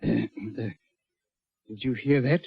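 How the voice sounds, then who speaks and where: An actor playing an anxious but firm prison governor in 1940s radio drama style. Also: male voice, mid-range voice, unhurried, noisy background, close to an everyday voice. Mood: uneasy. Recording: dull vintage radio recording.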